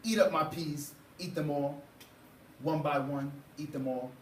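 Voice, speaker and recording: Man reading as a young storybook boy, reluctant, emphatic, slowly paced, echoey and distant. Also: fairly high pitch, steady tone, natural voice. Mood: resigned. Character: reluctant pea-hating young boy striking bargains. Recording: roomy, distant mic, faint noise.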